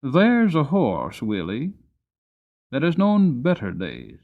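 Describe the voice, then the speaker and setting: A hearty old country grandfather, as a man acts him out. He is kindly and good-humoured, speaking deliberately with big swings of pitch. The storybook recording is a little dull. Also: wildly swooping pitch, full character voice. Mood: musing, kindly. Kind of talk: dramatic audiobook character voice.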